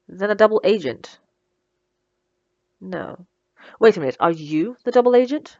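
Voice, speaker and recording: A woman's voice: mid-range voice, dry recording, dull audio. A woman playing a smug, sarcastic female supervillain who taunts her captive with theatrical condescension in an ASMR roleplay. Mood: smug, questioning. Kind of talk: ASMR roleplay audio drama.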